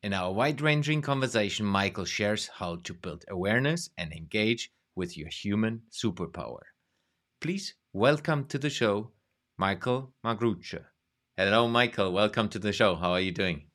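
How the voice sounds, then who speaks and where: A man's voice: fairly low pitch, lively intonation, moderate pace, fairly bright tone. A man hosting a podcast interview over a video call, asking questions in a friendly way and adding short affirmations. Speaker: podcast host.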